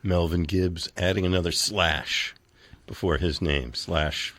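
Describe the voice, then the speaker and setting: A man with a low voice hosts a radio interview. He asks short questions and keeps the conversation moving with quick, encouraging remarks. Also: steady tone, fairly bright tone, no room sound. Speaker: radio host. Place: radio studio.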